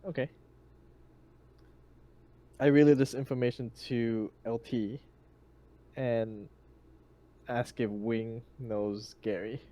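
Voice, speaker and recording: A man's voice: medium pitch, fairly even intonation, slow, long pauses, warm tone. A man talking casually as a player in a tabletop roleplaying game.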